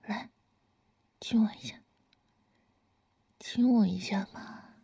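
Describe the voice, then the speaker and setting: A teasing, doting older lover, played by a woman murmurs in a husky, breathy whisper that sits close and hushed. The voice is slightly high and drawn out very slowly, every line unhurried and coaxing. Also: steady tone, full character voice. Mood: tender, coaxing. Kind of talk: whispered intimate audio roleplay.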